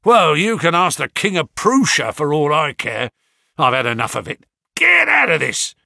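A furious market trader bellows at a pest, as a male reader puts him on. His voice rises high and loud with anger. The sound is dry and close. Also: sing-song, moderate pace, creaky, dry recording, full character voice. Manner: loud, fierce. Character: angry market salesman, gruff, shouting.

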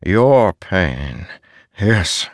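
A man voicing a haunting, solemn, wise spirit in a fantasy audiobook, speaking in measured, deliberate pronouncements. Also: low voice, animated, no room sound, clean studio sound, heavily acted. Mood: grave, consoling.

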